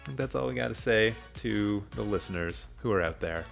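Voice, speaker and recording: A man with a fairly low voice hosts a casual film podcast. He talks in a jokey, self-deprecating, conversational way, with music behind him. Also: brisk, creaky, a bit bright. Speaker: podcast host.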